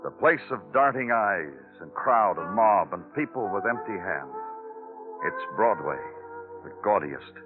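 Noir radio cop: American, brooding and measured, on the low side, thin vintage broadcast sound. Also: male voice, animated, over music. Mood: brooding narration. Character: hard-boiled, world-weary male police detective, grim and measured. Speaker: actor in a radio crime drama. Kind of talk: 1950s radio noir acting. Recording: tinny old radio broadcast recording.

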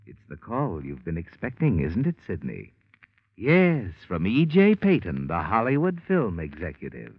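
A man's voice: fairly low pitch, wildly swooping pitch, a little slow, breathy edge, no echo, heavily acted. A man narrating a 1940s radio drama, speaking to the listener as "you" in a knowing, insinuating manner. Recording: tinny lo-fi old radio with faint music.

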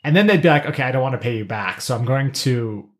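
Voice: medium pitch, animated, fast. A podcast co-host in a casual pop-culture discussion, explaining things and slipping into joking asides.

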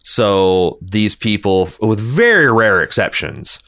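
A man hosting a podcast, discussing television casually in a halting, rambling way and often repeating words as he reasons. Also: on the low side, sing-song, a bit bright. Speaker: podcast host reading listener feedback.

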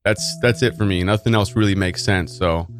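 A man's voice: sits low, moderate pace. A man talking casually and earnestly as a musician guest on a radio interview in a studio. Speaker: interview guest, working drummer. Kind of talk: casual chat.

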